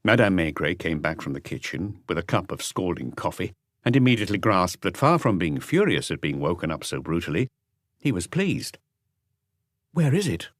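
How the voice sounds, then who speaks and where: A man's voice: low-pitched, sing-song, fairly quick, dry recording, studio quality. A man reading a crime novel aloud as scripted literary audiobook narration.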